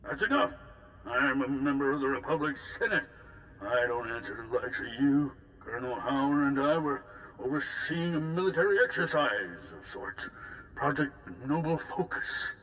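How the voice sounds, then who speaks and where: A scornful, self-righteous senator from a game, played by a man. The voice is sharp and forceful at a middle pitch, imperious and clipped, over a faint low rumble. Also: animated, normal speed, lightly acted, low-quality recording. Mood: defensive. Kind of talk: video game cutscene acting.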